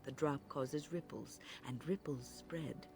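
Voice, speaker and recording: An actor voicing a wise, earnest mentor in a fantasy video game, speaking in a measured, solemn, lecturing way. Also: male voice, a little high, over music. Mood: grave, lecturing. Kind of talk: scripted video game dialogue.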